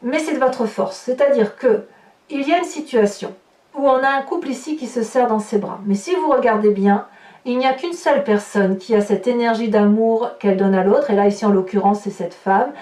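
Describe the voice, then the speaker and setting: High-pitched woman reading tarot in an echoey room. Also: moderate pace, mellow. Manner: emphatic, repeats and builds phrases for effect. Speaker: tarot reader, horoscope host. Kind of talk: improvised tarot reading commentary addressed to viewers. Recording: roomy echo, distant microphone.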